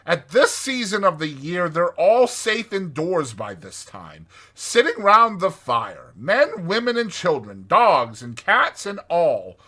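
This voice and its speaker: A storybook Rat, acted out by a man, breezy and sure of himself. The voice is raised high and projected brightly, with emphatic, carefree reassurance and lively swings in pitch. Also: slow, few pauses, heavily acted. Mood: breezy, reassuring. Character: breezy, reassuring male Rat, animal friend. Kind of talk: dramatized storybook character voice.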